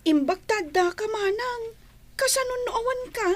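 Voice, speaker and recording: Woman voicing a very high-pitched sad little schoolgirl. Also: expressive, moderate pace. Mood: tearful longing. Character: sad young schoolgirl daughter, dependent on her nanny. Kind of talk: Ilocano radio drama acting.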